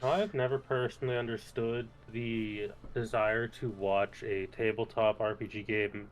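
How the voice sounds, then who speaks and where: A man's voice: on the low side, fairly even intonation, measured pace, poor audio. A man co-hosting a casual gaming talk show, making dry, blunt remarks. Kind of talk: casual chat.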